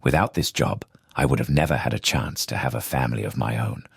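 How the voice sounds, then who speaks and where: Super deep raspy old mayor, stern dad lecturing slow, dry close sound. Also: male voice, no room sound, full character voice. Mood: solemn. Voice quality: deep gravelly rasp. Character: stern elderly male mayor, proud and dutiful. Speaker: mayor and estranged father.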